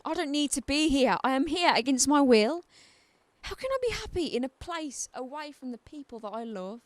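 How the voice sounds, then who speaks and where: A woman's voice: high-pitched, animated, moderate pace, no room sound. A British woman playing a bitter, challenging psychiatric inmate in her twenties in a radio drama, with a sardonic manner. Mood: angry, defiant.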